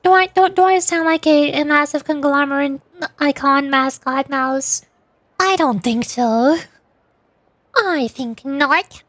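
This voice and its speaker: A woman's voice: high voice, takes it slowly, a bit bright, dry recording. A young woman streamer chatting in a playful, teasing way and laughing often. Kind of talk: casual chat while voicing game lines.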